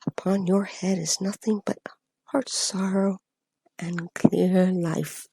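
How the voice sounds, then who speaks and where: An airy spirit servant from a Shakespeare radio play, acted by a woman. The voice is breathy and often sinks into whispering. The lines come slowly and measured, in a dry recording. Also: on the low side, flat delivery, mellow, dry recording, heavily acted, low-quality recording. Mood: menacing, solemn. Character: Ariel, airy spirit servant, menacing minister of fate, eager to please.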